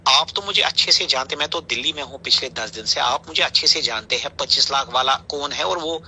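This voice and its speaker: A man's voice: medium pitch, a little fast. A man with a Kashmiri accent giving a heated phone interview in an emphatic, accusatory, insistent manner. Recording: bright, noisy phone call recording.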